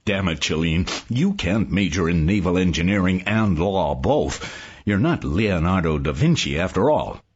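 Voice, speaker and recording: A man voicing an exasperated old professor who scolds a student gruffly. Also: low-pitched, animated, normal speed, lo-fi sound, voice pushed down. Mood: scolding.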